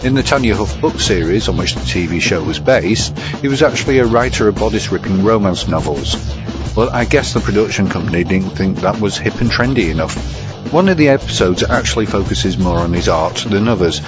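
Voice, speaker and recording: Deep-voiced man, music behind. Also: lively intonation, brisk. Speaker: segment presenter and reviewer. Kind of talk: scripted review narration. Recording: dull lo-fi recording under music. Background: guitar music.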